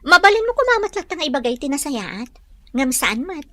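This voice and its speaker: Young maiden, Ilocano Filipino accent, firm, reproving, high pitch, animated, radio drama. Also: female voice, normal speed. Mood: reproachful. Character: principled young maiden firmly scolding her suitor. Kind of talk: Philippine radio drama acting.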